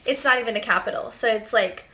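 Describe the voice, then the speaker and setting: A woman's voice: a little high, fast, bright, forceful tone. A young woman from Serbia with no accent, a guest chatting casually and filling her talk with "like". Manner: chatty, filler-heavy, lots of "like". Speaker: guest, model. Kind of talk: casual interview chat.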